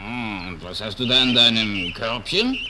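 An actor playing a sly, greedy wolf in a fairy-tale audio play, husky and breathy, scheming with hums and drawn-out words. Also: male voice, fairly low pitch, expressive, lightly acted. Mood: feigned politeness. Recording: noisy 1970s vinyl radio-play rip.

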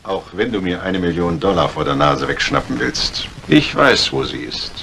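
An actor playing a calculating, outwardly composed doctor villain in a 1950s German radio crime drama, speaking in a measured way. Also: male voice, sits low, very animated, creaky, sharp tone, exaggerated voice. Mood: menacing. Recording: old radio play recording, faint hiss.

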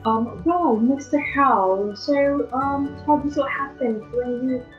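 A young woman acts a county attorney questioning a witness in a student stage play. The voice is fairly high and hesitant, recorded muffled in an echoey room. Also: slow pace, far from the mic, faint music behind. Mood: inquiring. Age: young adult. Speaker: student actor in a class play. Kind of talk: amateur stage-play acting.

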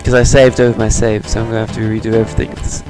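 A man's voice: on the low side, flat delivery, rapid-fire. A man giving casual, sarcastic commentary while playing a video game, muttering to himself. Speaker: let's player. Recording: game music underneath. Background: game music.

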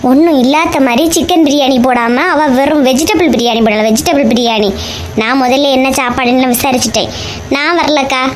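Casting: woman playing greedy, outspoken village wife, high pitch, Kanyakumari Tamil accent, cartoon comedy, faint noise. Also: fairly quick, close to an everyday voice. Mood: indignant grumbling. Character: food-obsessed, blunt, grumbling village housewife. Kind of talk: comic cartoon dialogue.

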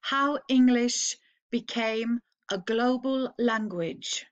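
Norwegian teacher reading slowly, higher voice. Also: female voice, dull audio. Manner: slow, clearly phrased read-aloud with pauses. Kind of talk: slow read-aloud for learners to repeat.

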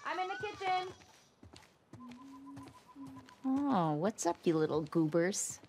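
A woman's voice: fairly low pitch, big pitch swings, moderate pace, darkish tone. A woman playing a playful, affectionate young woman in a game cutscene, casual and teasing. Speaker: video game character. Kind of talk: naturalistic game cutscene acting.

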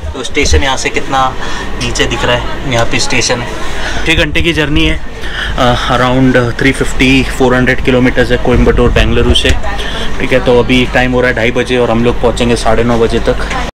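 A young man casually vlogging a train journey, chatting to viewers with many filler pauses, recorded on a handheld action camera. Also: medium pitch, level tone. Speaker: travel vlogger. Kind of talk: casual travel vlog narration. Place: moving train carriage and station platform. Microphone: action camera held close. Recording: loud train and air-conditioning noise behind.

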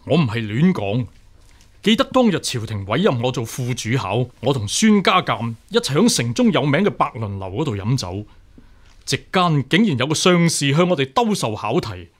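A man's voice: medium pitch, big pitch swings, moderate pace. A male actor with a Hong Kong Cantonese accent playing an upright, stubborn deputy examiner, earnest, formal and insistent, in a radio drama. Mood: agitated, insistent.

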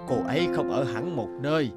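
A man voicing a kindly, wise old guardian angel in a cartoon, explaining things gently and solemnly. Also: mid-range voice, animated, loud music behind the voice, lightly acted. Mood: kindly, reassuring. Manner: slow, sententious, gently explaining. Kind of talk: Vietnamese cartoon dubbing.

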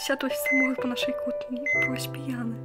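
Young woman as high-voiced worried wife. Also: flat delivery, quick pace, loud music behind the voice, close to an everyday voice. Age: young adult. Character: worried wife at a hospital bedside.

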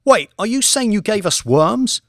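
Man putting on a brash, scornful trader, raised pitch. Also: very animated, moderate pace, no echo, clean studio sound. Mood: alarmed. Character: brash, scornful male forex trader, crude heckler.

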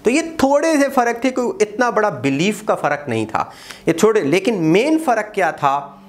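A man gives an exam-prep history lecture. He gestures a lot, repeats key dates and often pauses with "uh". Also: high voice. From Pakistan. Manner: animated, gestures widely, repeats key dates, frequent "uh" fillers. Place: small room in front of a whiteboard. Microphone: lapel mic.

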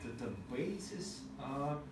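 A man with a Russian accent leads an informal programming class and keeps asking his students questions. His medium-pitched voice comes from a distance, with lots of room echo and steady background noise. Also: slow. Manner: relaxed, asks the class questions. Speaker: programming teacher. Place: small meeting room with a whiteboard. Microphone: far camera. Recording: roomy, distant, noisy room sound.

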